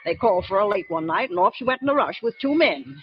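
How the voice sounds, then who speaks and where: A woman's voice: mid-range voice, very animated, fast. A chatty, nosy landlady who rattles on in a homely way. A faint hiss sits under the dull sound of a 1940s radio recording.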